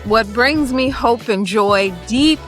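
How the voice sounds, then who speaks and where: A woman's voice: on the high side, slow, faint music behind. A woman co-hosting a podcast, exuberant and preacherly, sometimes singing her phrases. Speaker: podcast co-host. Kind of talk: casual podcast chat.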